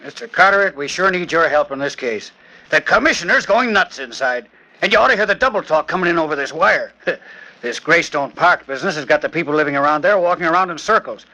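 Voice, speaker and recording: Brash, high-pitched, sharp-voiced police sergeant. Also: male voice, expressive, fast talker. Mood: busy, griping. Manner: slangy, exclamatory. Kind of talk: 1940s radio acting. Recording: noisy old 1940s radio recording.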